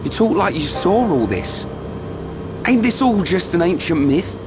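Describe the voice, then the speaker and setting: A man's voice: sits high, very animated, a little slow, full character voice. A man with a British accent playing a blunt, hot-headed young fighter in a game cutscene, colloquial and sceptical. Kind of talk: dramatic video game cutscene acting. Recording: voice under clear background music.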